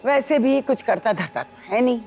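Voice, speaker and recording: A woman's voice: fairly high pitch, expressive, normal speed, darkish tone, soft background music. A woman playing a haughty middle-aged mother-in-law in a Hindi audio drama, bossy and dismissive. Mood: scornful.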